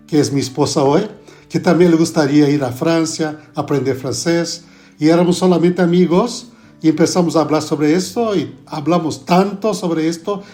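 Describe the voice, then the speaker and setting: Brazilian pastor speaking accented Spanish. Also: male voice, on the high side. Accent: Brazilian Portuguese. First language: Portuguese. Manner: relaxed, adds "no?" tags, halting Spanish. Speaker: pastor and guest theologian. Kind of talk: podcast interview.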